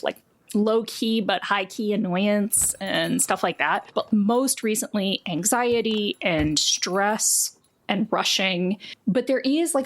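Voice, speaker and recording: A woman game master narrating a tabletop game in a casual, improvised, playful way. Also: medium pitch.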